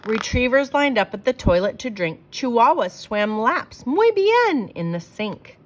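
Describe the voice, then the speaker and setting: A woman reading rhyming verse aloud for children in an expressive, theatrical storytelling way, leaning on the rhymes. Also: on the high side, a little slow.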